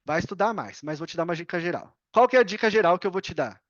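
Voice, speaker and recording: A woman's voice: on the low side, expressive, fast, no echo, lo-fi sound. A Brazilian woman speaking Portuguese as a podcast guest, explaining casually and emphatically with examples and questions to herself, opinionated and repeating key points. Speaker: podcast guest, affiliate marketer.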